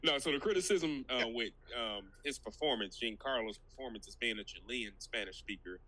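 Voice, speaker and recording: A man's voice: mid-range voice, brisk, sharp tone, rough recording. A man hosting a podcast, steering the chat, laughing easily and hesitating with many ums.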